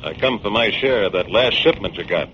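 A man's voice: fairly low pitch, expressive, brisk, fairly bright tone. A man playing a tough, suspicious gangster, blunt and menacing, in a 1940s radio drama. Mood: menacing, distrustful. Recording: muffled, noisy 1940s radio recording.

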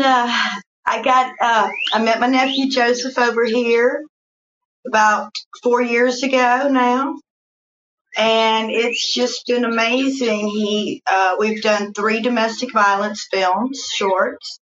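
A woman with a Southern drawl speaks slowly and unhurriedly, her voice sitting a touch high. She tells her story in a relaxed, chatty way, with plenty of "um"s along the way. The sound is a little dull and lo-fi. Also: a little hiss or hum. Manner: slow, warm storytelling with fillers. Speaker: guest. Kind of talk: casual interview.

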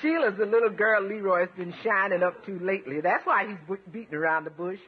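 An actress playing a folksy, deferential housekeeper and cook in a 1950s radio comedy. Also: female voice, mid-range voice, a little fast, natural voice. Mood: gently scolding. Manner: folksy, deferential, addresses employer as sir. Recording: muffled, faintly noisy vintage radio recording.

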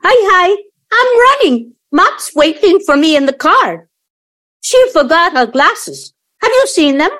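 A woman's voice: extremely high pitch, sing-song, unhurried, a bit bright, full character voice. A woman playing a breezy, girlish young daughter in an audio play, with an exclamatory way of talking. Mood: hurried, breezy.

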